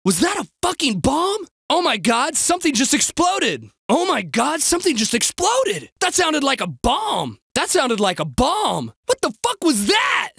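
A man's voice: very high-pitched, a little slow, vocal fry, hardly any pauses, no room sound, studio quality, full character voice. An actor playing a snarky, crude, jumpy young male bystander in a video game, with a sarcastic, exaggerated delivery. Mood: alarmed. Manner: sarcastic, exaggerated, swinging from flat to frantic.

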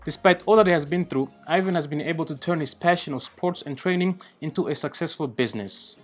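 Young male host, earnest, relaxed, slightly high voice, soft music underneath. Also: fairly quick. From Rwanda, raised in the Netherlands. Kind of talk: scripted intro, then casual interview. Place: indoor room by glass doors for the intro, rooftop terrace for the chat.

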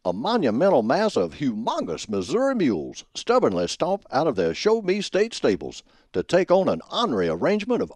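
A man performing as a folksy, pompous old football professor, giving grandiloquent scripted comic radio commentary in a husky voice. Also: mid-range voice, a little mellow. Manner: folksy, grandiloquent alliterative delivery. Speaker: host of a college football prediction show.

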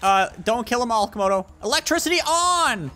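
A young man chatting casually and excitably as he hosts gameplay with light roleplay. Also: very high-pitched, brisk, a little hiss or hum. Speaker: gaming video host. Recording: game music behind the voice. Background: game music.

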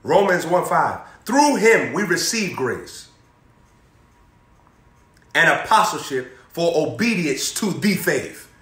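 High bright-voiced young preacher. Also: male voice, slow pace, long pauses. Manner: animated, repetitive preaching with call-and-response questions, moving around. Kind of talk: energetic sermon. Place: indoor room in front of a banner backdrop. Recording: echoey room.

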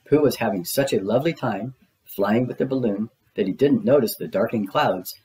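A man's voice: medium pitch, a little fast, dark tone. A man reading a children's storybook aloud as its narrator.

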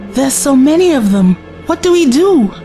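A woman acting a frightened survivor in an audio drama, panicky and very high-pitched. Her voice swings sharply, with faint music behind her. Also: big pitch swings, normal speed, mellow. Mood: alarmed. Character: frightened young woman among soldiers, panicky.

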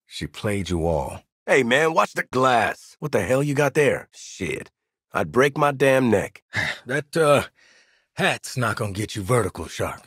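An actor voicing a blunt, impatient assassin in a video game, sarcastic and commanding, with an American accent. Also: male voice, mid-range voice, big pitch swings, unhurried, a bit bright, husky, no room sound, studio quality, exaggerated voice. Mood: wary, grumbling. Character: gruff, sardonic, impatient adult male assassin. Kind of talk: video game character dialogue.

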